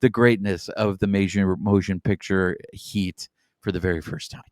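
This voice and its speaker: A man's voice: sits low, normal speed, no room sound, clean studio sound. A man in his forties, a native Spanish speaker, co-hosting a podcast in playful, hyped-up casual chat. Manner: lively, playful hype. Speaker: podcast co-host.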